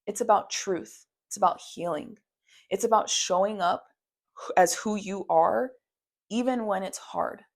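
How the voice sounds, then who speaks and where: A woman's voice: mid-range voice, unhurried, gravelly. A young woman from Maui hosting her own podcast, talking in an earnest, affirming way and often adding "you know". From Maui, Hawaii. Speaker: podcast host and therapist. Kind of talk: heartfelt solo podcast monologue.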